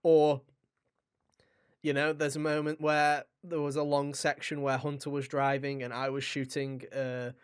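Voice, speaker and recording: A man's voice: fairly high pitch, monotone, measured pace, clean tone. A man co-hosting a gaming podcast, chatting casually and enthusiastically in a joking, sweary way. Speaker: podcast host and game reviewer.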